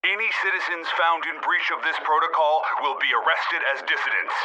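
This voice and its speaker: An actor playing a stern, commanding state announcer who reads decrees formally through a radio-like filter. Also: male voice, on the high side, fairly quick, bright, forceful tone, rough recording. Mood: stern, official.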